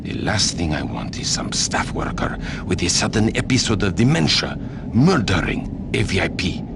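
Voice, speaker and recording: A man playing a gruff, weary and exasperated Marine sergeant recording an audio log in a game. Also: deep voice, exaggerated voice. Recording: heavy noise over audio log.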